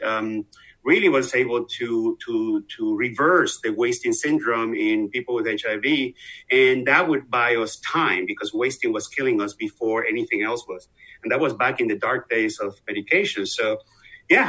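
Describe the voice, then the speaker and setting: A man's voice: mid-range voice, sharp tone, poor audio. A man in his sixties with a Venezuelan accent, answering as an interview guest, earnest, with many fillers and restarts. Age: 60s. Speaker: guest expert.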